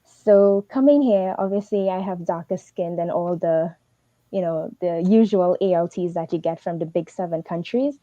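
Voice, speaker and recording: A young woman from Trinidad and Tobago, giving fast, earnest advice as a teaching assistant on a livestream. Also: on the low side, warm tone, no echo, dull audio. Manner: fast, earnest, advising directly.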